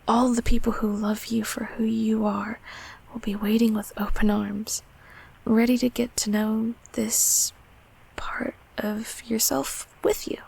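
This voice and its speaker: A woman playing a gentle, affectionate closet monster in an intimate comfort roleplay, speaking softly and hesitantly in a whispery, reassuring way. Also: fairly high pitch, level tone, heavily acted, low-quality recording. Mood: warm, reassuring. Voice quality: soft, breathy, whispery. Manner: slow, hesitant, tenderly reassuring.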